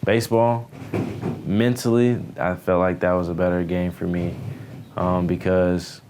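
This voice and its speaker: Very low, even-toned young American man. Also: a little slow, slight background noise. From Houston area, United States. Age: early twenties. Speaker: baseball pitcher, newly drafted player. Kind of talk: casual interview answers.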